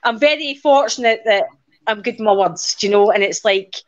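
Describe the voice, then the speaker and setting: A woman's voice: medium pitch, brisk, dull audio. A woman, a podcast guest chatting casually in an outspoken, defiant way. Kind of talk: casual chat.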